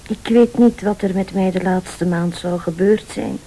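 An actress playing a frail, lonely, ailing wealthy wife in a radio thriller. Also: female voice, mid-range voice, unhurried, dark tone, a little hiss or hum, lightly acted, rough recording. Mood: grateful, fragile.

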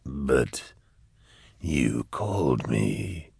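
A man voicing a sly, mockingly friendly male demon in an audiobook, with a drawn-out, insinuating whispery delivery. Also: very deep voice, very animated, creaky, a little mellow, slightly rough, dry recording, studio quality, voice pushed down, full character voice. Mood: mock hurt.

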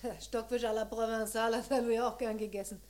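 A woman's voice: a little high, level tone, fairly quick, a little mellow, a little hiss or hum, close to an everyday voice. A woman acting a talkative, fond witness in a radio crime drama, conversational and emphatic. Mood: reminiscing. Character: chatty, fond female witness questioned by police.

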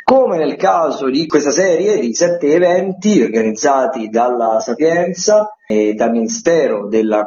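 A man giving a semi-improvised radio round-up of events as a critic, with hesitant, drawn-out phrasing. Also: mid-range voice, a little mellow. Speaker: theatre critic reporting a weekly events agenda. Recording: echoey, dull lo-fi radio feed.

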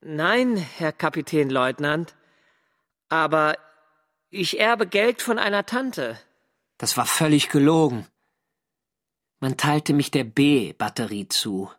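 An actor playing a navy sailor who narrates his wartime diary in an earnest, wry and measured literary way for a radio drama. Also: on the high side, sing-song, fairly bright tone, full character voice. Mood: hesitant. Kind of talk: scripted first-person radio drama narration.

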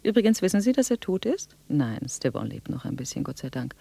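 A man's voice: a little high, sing-song, brisk, gravelly, dark tone, breathy edge, no room sound, heavily acted. An actor playing a sly, falsely polite male visitor in a German radio drama, chatty, rambling and correcting himself with mock courtesy. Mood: mock-polite, needling.

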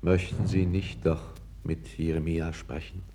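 An actor playing a calm, candid foreign court councillor, speaking with measured, deferential gravity in a 1960s radio play. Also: male voice, low-pitched, noisy background. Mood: earnest, urging. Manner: measured, grave, deferential yet frank.

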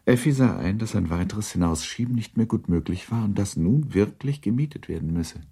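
A man's voice: lowish voice, animated, a little fast, gravelly, dark tone, breathy edge. A man reading scripted literary narration for a radio drama.

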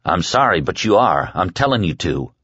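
A man's voice: deep voice, big pitch swings, moderate pace, a bit bright, slightly rough, no room sound, muffled recording, full character voice. A man voicing an injured, stoical man in an audiobook, plain-spoken and commanding as he orders friends onward. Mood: resolute.

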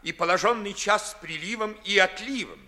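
An actor playing a proud, witty young gentleman in a 1950s classical radio play, declaiming verse with sardonic emphasis. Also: sits high, a little fast. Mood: mocking.

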